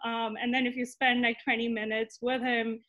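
A woman's voice: a little high, flat delivery, moderate pace, a bit bright. A woman in her thirties giving a casual introduction as host on a video call. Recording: dull, band-limited video call audio.

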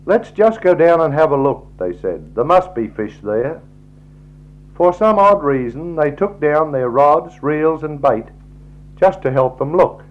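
A man with an Australian accent gives a measured, formal scripted travelogue narration in a 1960s style. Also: fairly high pitch. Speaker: slideshow narrator. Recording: dull, lo-fi 1960s tape recording.

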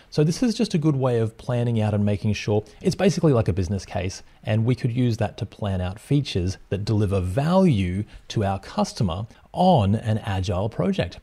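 Mellow-voiced man teaching project planning. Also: on the low side. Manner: plain, steady teaching with frequent fillers. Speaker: project management instructor. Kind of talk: explanatory lesson over slides.

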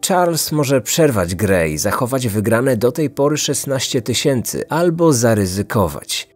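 A man's voice: medium pitch, sing-song, unhurried, no echo, faint music behind. A man narrating a scripted true-crime story as a podcast host.

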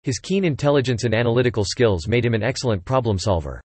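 A man reading English example sentences aloud for listening practice, in an even, clipped delivery. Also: mid-range voice, fast, slightly rough, dry recording.

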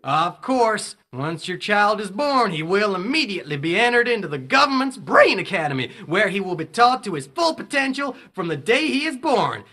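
An actor playing a slick, theatrical game show host in a dystopian audio drama. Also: male voice, high-pitched, sing-song, moderate pace, hardly any pauses, pushed and bright, heavily acted. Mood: showy. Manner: theatrical, gushing announcer delivery.

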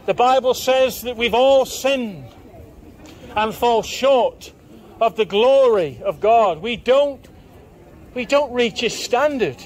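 A man's voice: extremely high pitch, expressive, slow, darkish tone. A man preaching in the open air on a busy town market street, firing repeated questions at passers-by. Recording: outdoor street with faint crowd.

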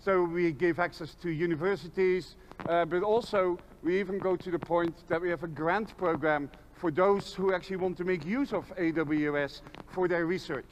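A man's voice: sits high, fairly even intonation, normal speed, a little hiss or hum, rough recording. A middle-aged man speaking as an executive presenter in a conference keynote on a large indoor stage.

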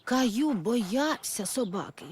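A woman playing a gentle storyteller, narrating a children's cartoon in an expressive storybook manner. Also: on the high side, slow, a little hiss or hum. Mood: gentle storytelling. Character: gentle storyteller narrating a small boy's day. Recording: music behind the voice.

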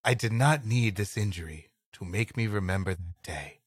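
A man's voice: on the low side, normal speed, husky, no room sound, studio quality. A man co-hosting a comedy podcast, bantering and reading stories. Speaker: podcast co-host.

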